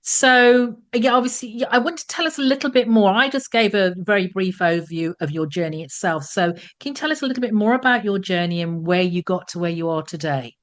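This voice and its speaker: A woman's voice: mid-range voice, brisk, few pauses. A woman hosting an interview show, introducing her guest and asking questions in a conversational style.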